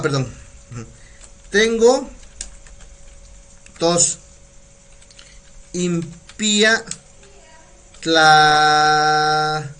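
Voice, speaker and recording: A Mexican man with a Mexican Spanish accent hosting a casual live language lesson, chatty and laughing often, repeating words slowly for pronunciation. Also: a little high, sharp tone. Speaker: community radio host teaching Nahuatl.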